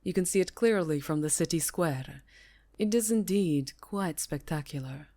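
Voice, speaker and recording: A woman voicing a stern, cautious high enchantress and mentor, speaking gravely and formally in a fantasy roleplay. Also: normal speed, mellow. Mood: solemn. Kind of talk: fantasy ASMR roleplay acting.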